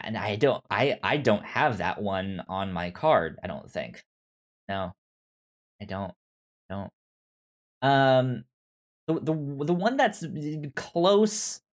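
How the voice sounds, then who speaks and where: A man co-hosting a podcast, chatting casually about films in a halting way, often repeating words and restarting. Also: on the low side. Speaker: podcast co-host. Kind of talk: casual film review chat.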